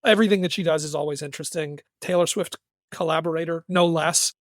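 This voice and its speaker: A man's voice: fairly high pitch, steady tone, fast, dry recording, clean studio sound. A man co-hosting a music podcast, talking in a casual, wry and enthusiastic conversational way. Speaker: podcast co-host and music reviewer.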